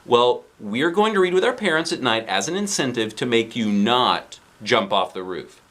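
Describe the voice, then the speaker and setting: A man voicing an earnest, outspoken young schoolboy in a children's storybook, with an emphatic, animated manner. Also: mid-range voice, normal speed, natural voice. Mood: firm. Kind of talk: children's storybook character reading.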